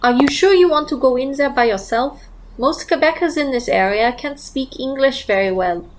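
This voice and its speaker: High-voiced, exacting teenage girl. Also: expressive, normal speed, poor audio. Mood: calm, questioning. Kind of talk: scripted audio drama.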